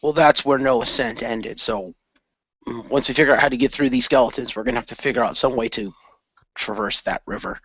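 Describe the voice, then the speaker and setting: A man's voice: medium pitch, fairly quick, sharp tone, breathy edge, dry recording. Casting: man playing clawed beast adventurer, mid-range everyday voice, fretful and sheepish, audio role-play, dry dull sound. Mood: pondering. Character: clawed beast fighter, plain-spoken and fretful.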